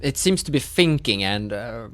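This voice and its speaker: A man running a tabletop roleplaying game as game master, improvising his narration in a halting way. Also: medium pitch, animated, fairly bright tone, dry recording.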